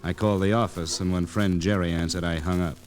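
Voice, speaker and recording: Crackly 1940s radio disc, a man acting a low-voiced hard-boiled reporter, terse, wry, determined noir narration. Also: steady tone, fast, close to an everyday voice. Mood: grimly resolved. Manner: terse, clipped, dramatic phrasing.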